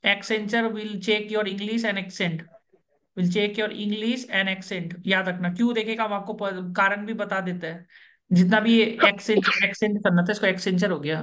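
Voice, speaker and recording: A man working as an interview coach, running an online coaching session over a video call, brisk and instructive, repeating the key points. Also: sits high, steady tone, a bit bright.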